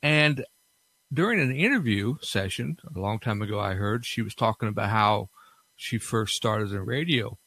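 A man hosting a radio-style podcast informally, with unhurried, halting phrasing. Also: lowish voice, expressive, a bit bright, breathy edge, dry recording, poor audio. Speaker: podcast host.

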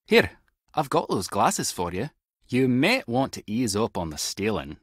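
A clever Scottish intelligence agent in an adventure game. His husky voice sits fairly low and swings freely in pitch. He is wry and tech-savvy, recorded close and dry. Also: wildly swooping pitch, unhurried, no room sound, heavily acted. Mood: wry, explanatory. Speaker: video game voice actor. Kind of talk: scripted adventure game dialogue.

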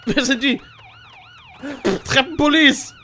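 Young male let's player, playful banter, sharp high voice, game noise and sirens behind. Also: slow pace. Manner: excitable, playful, switches into English phrases. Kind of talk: casual gaming banter during co-op play. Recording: noisy game audio with police sirens. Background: game sounds, sirens.

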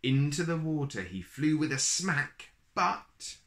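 Slow-paced man reading to children. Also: fairly high pitch. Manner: expressive, slow, deliberate phrasing for young children. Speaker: storyteller and host of a children's story channel. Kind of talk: children's picture-book read-aloud. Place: small plain room indoors.

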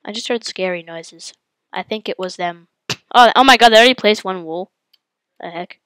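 Congested woman, gaming commentary, excitable yelps, bright forceful voice, slightly high, dry close sound. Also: moderate pace, no echo. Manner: excitable, yelps and exclaims at the game. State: congested with a head cold. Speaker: gaming channel host. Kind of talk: live gameplay commentary.

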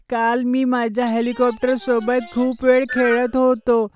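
A woman's voice: fairly high pitch, natural voice. A woman playing a polite, apologetic young boy in a children's cartoon, speaking earnestly.

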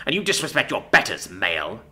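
Haughty female dark elf, man acting, sadistic, sneering, snarling, sharp voice, fantasy story. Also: mid-range voice, sing-song, normal speed, breathy edge, exaggerated voice. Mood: contemptuous.

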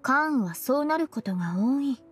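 A woman voicing a cool, stoic, blunt woman in an anime-style game, deadpan and measured, with archaic wording. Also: high voice, slightly rough, faint music behind, exaggerated voice. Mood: cool, earnest.

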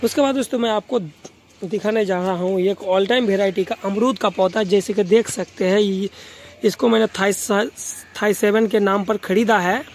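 A man's voice: high voice, steady tone, moderate pace, slight background noise. A man from Bengal with a Bengali accent, a native Bengali speaker, narrating an informal gardening tour and often repeating himself as he addresses viewers. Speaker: gardening vlogger.

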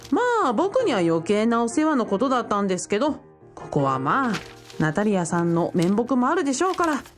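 A woman's voice: mid-range voice, close to an everyday voice. A woman playing a polite, eager young man who works in a bookshop, deferential and explanatory, in a radio drama. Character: polite young man, bookshop part-timer, eager self-styled fiancé.

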